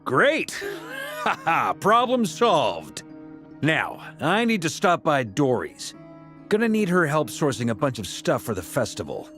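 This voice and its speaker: A man's voice: a little high, huge pitch swings, measured pace, fairly bright tone, soft background music, heavily acted. An actor playing a jovial, upbeat man in a video game. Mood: cheerful, breezy. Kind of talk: video game character acting.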